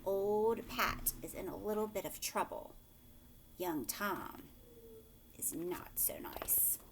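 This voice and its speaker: A woman's voice: medium pitch, takes it slowly, whispered. A woman teacher reading a storybook aloud expressively and explaining it to young listeners as she goes.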